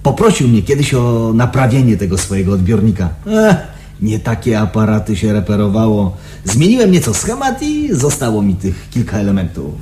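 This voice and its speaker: A smug, mellow-voiced tinkerer. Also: male voice, on the low side, sing-song, normal speed, a little hiss or hum. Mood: boastful. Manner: boastful, knowing. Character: smug, secretive electronics tinkerer with delusions. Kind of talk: Polish radio play acting.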